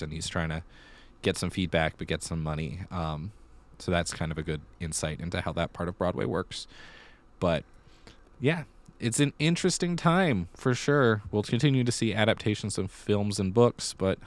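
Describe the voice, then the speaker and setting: A man co-hosting a theater podcast in a casual, conversational and explanatory way. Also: deep voice. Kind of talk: casual chat about musical theater news.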